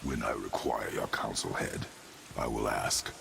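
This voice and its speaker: Super deep growly warrior father, stern and bossy, few words, fantasy game cutscene. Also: a little slow, background noise, full character voice. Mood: stern, curt. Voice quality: gravelly, very deep. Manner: terse, clipped, commanding. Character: stern, brusque warrior father, terse and commanding. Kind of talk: cinematic game voice acting.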